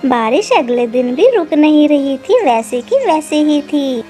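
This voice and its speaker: A woman reading scripted narration for a children's animated story. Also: high voice, unhurried, over loud music.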